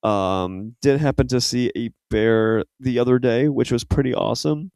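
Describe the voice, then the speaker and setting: A man's voice: mid-range voice, normal speed, creaky, a little mellow, smooth, no echo. A man co-hosting a casual soccer podcast, bantering in a friendly, upbeat way. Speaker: podcast co-host.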